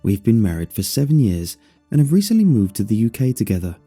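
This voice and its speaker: A man narrating a scripted first-person horror story in a measured, expressive way over music. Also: lowish voice, slightly rough. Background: faint music.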